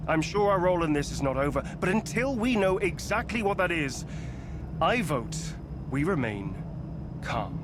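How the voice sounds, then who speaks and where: Higher-voiced, grave ancient guardian. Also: male voice, sing-song, background noise. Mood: firm, calming. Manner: formal, deliberate. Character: grave, measured ancient guardian, the mediating brother. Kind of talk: video game voice acting.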